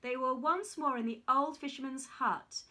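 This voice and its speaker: Bright high-voiced woman reading a story. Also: moderate pace. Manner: careful, steady read-aloud with small slips. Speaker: reader. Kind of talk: reading a fairy tale aloud.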